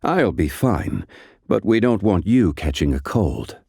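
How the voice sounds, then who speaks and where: A composed old-soul gentleman for a video game, scholarly and ancient. The voice is deep, slightly husky and slow, with formal, carefully weighed phrasing. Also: sing-song, no echo, clean studio sound, full character voice. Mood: calm, formal. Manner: measured, formal, deliberate diction. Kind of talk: video game character voice lines.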